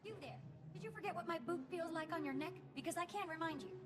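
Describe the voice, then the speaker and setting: Tyrannical small female lab boss, game character, very shrill, rapid, snapping orders. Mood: menacing. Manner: barking threats and orders. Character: bullying female Asura lab boss, shrill and ill-tempered. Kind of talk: video game voice acting.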